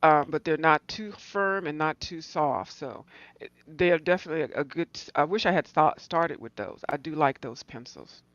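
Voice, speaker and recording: A woman's voice: low voice, moderate pace, no room sound, highs cut off. A woman chatting casually on a call, rambling loosely and thinking aloud as she talks. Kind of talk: casual chat about art supplies.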